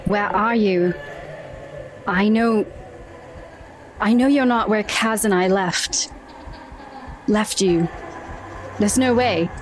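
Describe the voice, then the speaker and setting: A woman playing a shaken, guarded character in a tabletop roleplay, halting and bewildered as she speaks. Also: mid-range voice, slight background noise, close to an everyday voice, poor audio. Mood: anguished, searching.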